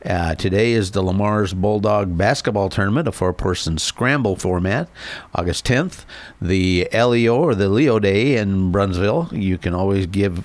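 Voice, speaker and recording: A man co-hosting a local radio golf show, reading course listings in a plain, unhurried way with fillers. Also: on the low side, no room sound.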